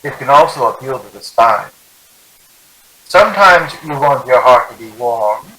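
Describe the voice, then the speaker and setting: A man acting a grand, bright-voiced radio host. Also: mid-range voice, animated, measured pace, rough recording. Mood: wry, grand. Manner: theatrical, wry, grandly formal. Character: grand, wry celebrity host of a thriller broadcast. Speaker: host introducing a radio drama. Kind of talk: 1940s radio presenting.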